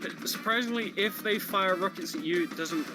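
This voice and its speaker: A man's voice: sits high, normal speed, poor audio. A man giving casual running commentary on a video game, explaining how it plays. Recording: game music under the voice. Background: loud game music.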